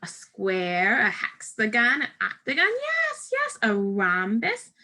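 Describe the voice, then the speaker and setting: A woman's voice: fairly high pitch, very animated, takes it slowly, pushed and bright. A woman teacher giving a step-by-step craft lesson to first graders, speaking directly to children in simple, patient instructions.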